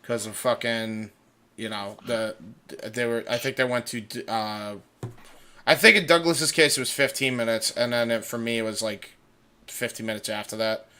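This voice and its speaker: A man's voice: medium pitch, steady tone, normal speed, pushed and bright, smooth. A man voice actor chatting and joking on a livestream, swearing freely and stammering into his sentences. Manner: heavy casual swearing, stammering starts. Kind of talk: casual chat with joking banter.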